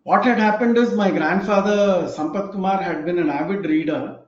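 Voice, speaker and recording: A man in his fifties with an Indian English accent, telling stories about a book he loves in a reflective, unhurried way. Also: sits high. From Tamil Nadu, India. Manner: reflective, unhurried storytelling with fillers. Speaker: host. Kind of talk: casual book talk. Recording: echoey, dull lo-fi room sound.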